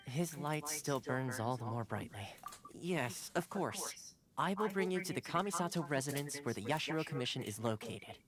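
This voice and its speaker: Man voicing lively, courteous young game guide. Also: fairly high pitch, normal speed, crowd noise, lightly acted. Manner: polite, teasing, articulate. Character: playful, courteous young male retainer and guide. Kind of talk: video game dialogue. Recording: game music behind.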